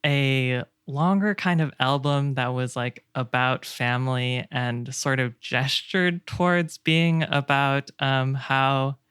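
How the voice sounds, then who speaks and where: A man with a clear, resonant voice talks casually as a podcast panelist. He picks his words haltingly and thoughtfully. The sound is dry and close, without room echo. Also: mid-range voice, fairly even intonation, fairly bright tone. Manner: hesitant, halting, thoughtful phrasing. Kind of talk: casual discussion.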